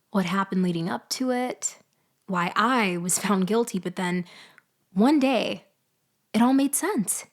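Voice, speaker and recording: A woman performs a vengeful ex-girlfriend, newly out of prison, in an intimate audio roleplay. She speaks slowly at a medium pitch, leaving loaded pauses. Her words are pointed and sardonic, with a mock-sweet edge over the bitterness. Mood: gloating, menacing. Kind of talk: girlfriend ASMR roleplay acting.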